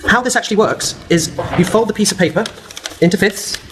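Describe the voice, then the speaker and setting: A man explains at a quick clip, eager and clear, walking the listener through a demonstration. His voice sits a little high. Faint background noise sits under the recording. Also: fairly bright tone. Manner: eager, explaining while demonstrating a paper fold. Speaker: guest presenter.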